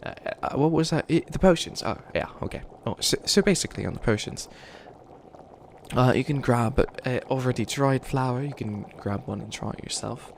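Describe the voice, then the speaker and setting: A man's voice: medium pitch, moderate pace, natural voice. A man voicing a flustered, youthful bunny witch who wants to be evil, stammering and rambling defensively in a comedic ASMR roleplay. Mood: fussy, rambling. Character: flustered 853-year-old youthful bunny witch, harmlessly wannabe evil. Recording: clearly noisy ambience behind voice.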